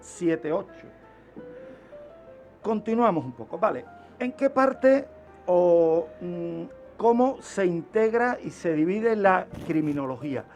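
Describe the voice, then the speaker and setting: A man's voice: sits high, animated, slow, a little mellow, faint music behind. A man with an accent from Spain explaining as a guest expert on a radio show, asking questions and answering them himself. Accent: Spanish, from Spain. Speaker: criminologist guest.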